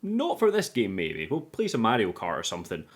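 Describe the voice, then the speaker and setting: A man's voice: on the low side, huge pitch swings, a little fast. A man giving a casual, jokey recap commentary as host of a film review show, with informal, sweary phrasing.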